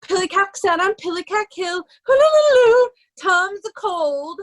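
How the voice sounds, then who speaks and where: A woman playing a raving mad beggar in an amateur Shakespeare reading, wild and chanting with drawn-out nonsense. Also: very high voice, bright, forceful tone, heavily acted. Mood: crazed, haunted. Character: Edgar feigning a raving mad beggar, Poor Tom.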